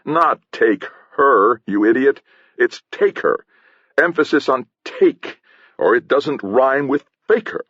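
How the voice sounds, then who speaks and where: A man's voice: mid-range voice, moderate pace, hardly any pauses, breathy edge, no echo, muffled recording, pitched above the performer's own voice, close to an everyday voice. A man voicing a bossy, irritable satirist who directs his actors, curt, scolding and bellowing, in an audiobook. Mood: exasperated. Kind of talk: audiobook character acting.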